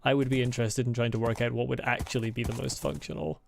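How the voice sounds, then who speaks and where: A man with a light Scottish accent streams a game, chatting and rambling in a gravelly, fry-edged voice. His pitch sits in an ordinary middle range. He slips into blunt, sweary rants. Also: steady tone, fast talker, background noise. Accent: mild Scottish. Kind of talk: casual live-stream chat while gaming.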